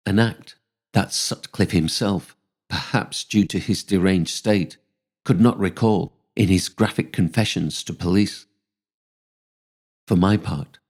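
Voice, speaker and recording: Guy reading his own story, deep mellow voice, measured scripted narration. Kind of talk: scripted first-person narration.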